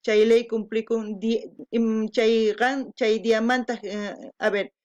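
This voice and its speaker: A woman's voice: a little high, level tone, takes it slowly, a little mellow, lo-fi sound. Earnest woman, Quechua speaker. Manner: earnest, thoughtful, switching between Spanish and Quechua. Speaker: reaction channel host. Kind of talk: casual reaction commentary.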